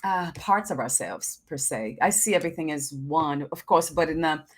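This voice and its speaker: A woman's voice: low voice, normal speed. A woman hosting an interview, thoughtful and affirming, musing aloud between her guest's stories. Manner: thoughtful, affirming, frequent listening murmurs. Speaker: host. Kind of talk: reflective interview conversation.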